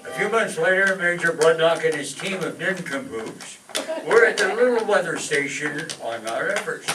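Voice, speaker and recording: Male comedy narrator, slightly high pitch, scripted announcer delivery, roomy distant stage recording. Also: animated, moderate pace, over loud music.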